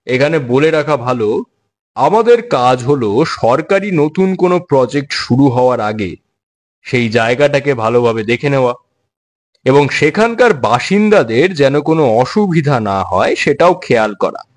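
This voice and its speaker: A man playing a brisk, talkative office boss giving instructions in a dramatized audio story. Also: medium pitch, sing-song, close to an everyday voice. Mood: businesslike, persuasive.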